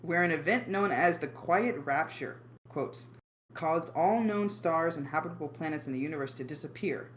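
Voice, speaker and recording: A man co-hosting a casual group chat, reading a summary aloud haltingly and swearing offhand. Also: on the high side, fairly bright tone, slight background noise, poor audio. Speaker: podcast co-host reading the premise.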